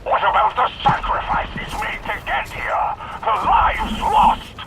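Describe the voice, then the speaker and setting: An actor playing a zealous, indignant military commander in a game cutscene, intense and theatrical. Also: male voice, very high-pitched, wildly swooping pitch, slow pace, vocal fry, very noisy, full character voice. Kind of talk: dramatic game cutscene acting.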